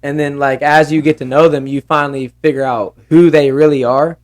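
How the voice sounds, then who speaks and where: A man's voice: fairly even intonation, a little fast. A man in his twenties chatting openly on a podcast, often laughing and quoting others. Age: 20s. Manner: candid, laughs often, quotes others in lowered voice. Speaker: podcast guest. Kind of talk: casual chat.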